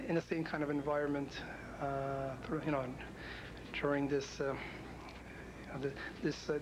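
A man speaks at a press conference in a flat, halting way, pausing and restarting as he reflects. A room noise hangs behind him. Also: fairly high pitch. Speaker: head coach.

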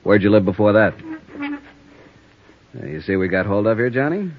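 Shrewd radio-drama police interrogator male actor: lowish voice, sardonic and needling, expressive pitch swings, vintage sound. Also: moderate pace, natural voice. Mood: casual. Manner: needling, dry, pointed questioning. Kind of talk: 1950s radio acting. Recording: dull vintage radio recording.

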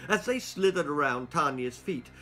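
A man in his sixties narrating a children's story in an expressive, deliberate, theatrical way. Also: a little high, slight background noise. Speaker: author reading his own stories. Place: small book-lined room indoors.

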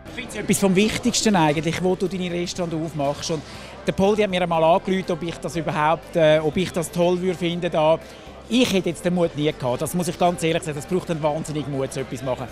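A man in his fifties with a Swiss German accent, speaking rapidly and enthusiastically into a handheld mic at a crowded venue opening. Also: fairly high pitch, a little mellow, faint music behind. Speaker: restaurateur. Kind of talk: casual interview. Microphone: handheld reporter mic.

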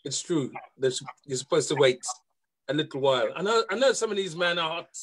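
A man's voice: on the high side, lively intonation, brisk, fairly bright tone. A man on a talk show panel, playful and teasing, often repeating himself. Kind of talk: casual panel chat.

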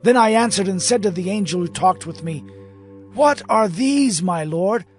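Audio Bible prophet: high voice, very animated, earnest and declaiming, recounting visions in awe. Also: male voice, moderate pace, faint music behind. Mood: awed.

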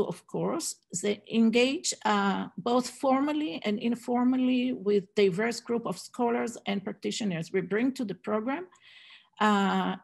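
A woman's voice: medium pitch, creaky, husky. A middle-aged woman giving an academic conference talk over a video call, hesitant with many fillers and restarts. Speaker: Hebrew program director presenting at a conference. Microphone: video call webcam.

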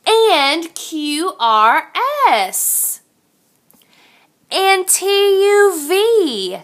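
High, bright, slow woman reading to children. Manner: expressive, sing-song, addressing young children. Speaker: teacher reading aloud to children. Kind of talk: children's picture-book read-aloud.

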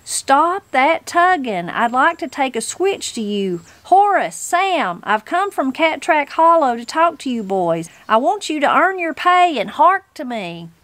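A woman's voice: a little high, very animated, unhurried, no room sound. A woman voicing a feisty old backwoods granny, blunt, folksy and indignant, as a storybook character. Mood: exasperated, commanding.

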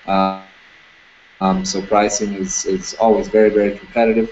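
A man in his thirties, a security camera business owner, talks casually in an interview over a video call, relaxed, with many fillers. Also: on the low side, moderate pace, soft background music. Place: indoor room with curtains. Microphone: webcam on video call. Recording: dull lo-fi video call audio, faint noise.

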